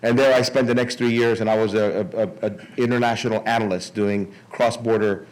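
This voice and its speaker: Older man, lowish voice, halting delivery. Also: steady tone, rough recording. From Miami, United States. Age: 60s. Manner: halting, repeats words and restarts often. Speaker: conference speaker. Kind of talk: informal public speech.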